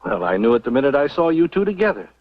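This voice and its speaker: An actor playing a shrewd, skeptical professor in an old western radio drama, measured and inquisitive. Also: male voice, medium pitch, very animated, no echo. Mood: dry, knowing. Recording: muffled old radio recording.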